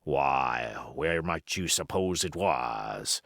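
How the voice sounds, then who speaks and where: Man performing a wily pirate cook, fifties, low pitch, swooping intonation, salty, boastful, storybook villain. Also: lively intonation, slow, vocal fry, a bit bright, no echo, clean studio sound, full character voice. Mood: sly, derisive. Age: 50s. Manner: salty, rambling, folksy boasting. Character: wily fifty-year-old one-legged pirate sea cook, boastful and flattering.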